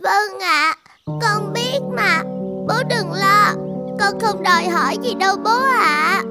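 A woman voicing a polite, shy little schoolgirl in a dubbed cartoon, bright and lively. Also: very high voice, slow pace, big-room sound, loud music behind the voice. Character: cheerful shy schoolgirl, about ten. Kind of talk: cartoon dubbing.

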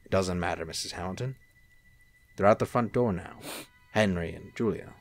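A man playing a serene, gently persuasive angel in human guise in an audio drama, calm and assured. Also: sits low, moderate pace, faint music behind. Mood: reassuring.

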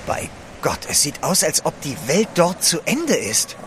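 An actor playing a nervous, deferential manservant in an adventure radio play, with jittery politeness. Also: male voice, a little high, sing-song, unhurried, noisy background. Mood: awed, anxious.

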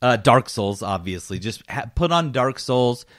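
Man, mid-pitched voice, casual podcast chat, slangy, joking mock instructions. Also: animated, measured pace, a bit bright. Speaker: podcast co-host.

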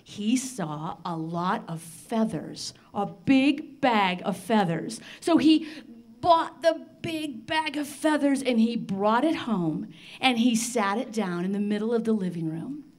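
An older woman telling a children's story live in an expressive, theatrical manner with dramatic pauses. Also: a little high. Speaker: storyteller on a children's radio show. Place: large library room, live before a seated audience. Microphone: handheld microphone.

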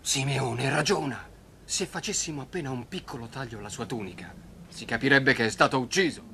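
A man voicing a cold, jealous, scheming brother in an animated film dub. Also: mid-range voice, a little fast, creaky, a loud crowd, natural voice. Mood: coldly scheming.

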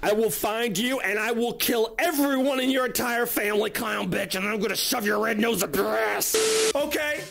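A young man giving loud, crude comedy commentary over video games, yelling and joking at the screen, recorded on a headset in a bedroom setup with game music behind him. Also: sits high, moderate pace, creaky. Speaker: gaming YouTuber.

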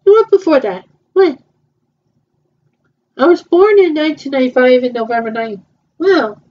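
A young woman in her twenties, improvising a roleplay dialogue in a hesitant, halting way, with many repeats and fillers. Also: sits high, expressive. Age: 20s. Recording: muffled lo-fi home recording.

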